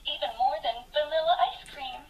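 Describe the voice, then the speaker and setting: A woman voicing a sweet, flattering enchanted mirror in a children's toy, gushing in a sugary manner. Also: high voice, fairly quick, highs cut off, over music. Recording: toy speaker played in room, faint noise.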